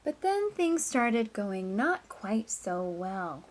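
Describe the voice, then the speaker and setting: Higher-pitched woman reading a storybook aloud. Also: a little hiss or hum. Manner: expressive, storytelling emphasis with drawn-out words and hushed asides. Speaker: teacher reading aloud to pupils. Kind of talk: picture-book read-aloud for children.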